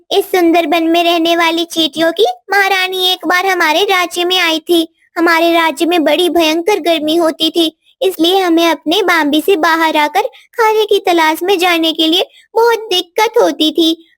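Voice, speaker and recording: A woman's voice: very high-pitched, dry recording, clean studio sound. A woman voicing a dignified, proud queen ant who explains things formally in a cartoon moral story.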